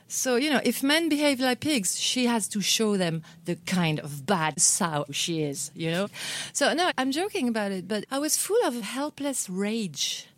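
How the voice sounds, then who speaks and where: A woman's voice: mid-range voice, no echo. A woman, an author from France, answering interview questions at a bookstore event in a thoughtful way, often repeating words as she searches for them. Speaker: author guest at a book club.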